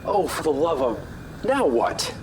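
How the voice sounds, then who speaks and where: A man's voice: fairly high pitch, big pitch swings, unhurried, noisy background. A man as a snarky, impatient armored hero. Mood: sarcastic, exasperated. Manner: snarky, dismissive. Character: cocky, sarcastic, impatient male armored superhero. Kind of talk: superhero audio drama acting.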